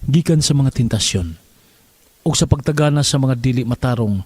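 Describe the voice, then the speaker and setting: A man's voice: mid-range voice, normal speed, darkish tone, no room sound. A man delivering a scripted religious reading of scripture. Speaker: scripture message reader. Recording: clear music bed behind voice.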